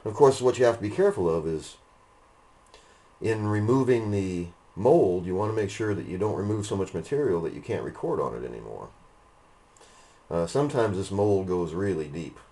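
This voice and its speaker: A man giving a casual, unhurried hands-on demonstration, explaining each step as he works. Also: low voice, lively intonation, warm tone. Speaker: hobbyist demonstrator, host. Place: indoor hobby room. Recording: noisy room, machine noise.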